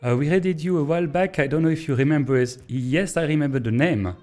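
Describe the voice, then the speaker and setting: A man with a French accent, a native French speaker, thinking aloud in a hesitant, pause-filled way as he streams and comments on a train-building game. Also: on the high side, darkish tone, faint music behind. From Belgium. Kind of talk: casual live-stream gameplay commentary.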